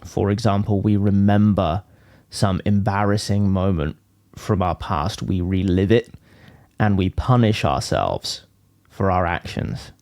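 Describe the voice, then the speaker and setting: A man leading a guided meditation as a teacher, speaking in measured, deliberate phrases with frequent pauses. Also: low-pitched, a little mellow, dry recording, clean studio sound.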